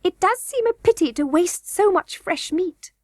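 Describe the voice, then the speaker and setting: A woman doing a shrill, spiteful goblin queen. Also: animated, moderate pace, a little mellow, dry recording, studio quality. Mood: greedy. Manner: shrill, peevish and haughty. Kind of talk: storybook reading.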